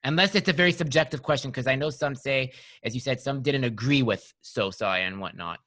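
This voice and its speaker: A man's voice: medium pitch, a little fast, sharp tone. A young man hosting an interview podcast, asking eager, rambling questions with many restarts, wearing a headset in a room at home. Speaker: podcast host. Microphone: headset with studio mic.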